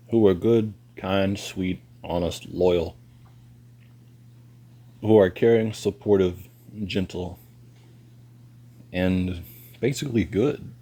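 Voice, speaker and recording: A man, lecturing bluntly in a halting monologue to camera. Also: dark tone, slightly rough. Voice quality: deep voice. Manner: slow and halting, pauses and restarts. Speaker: vlogger.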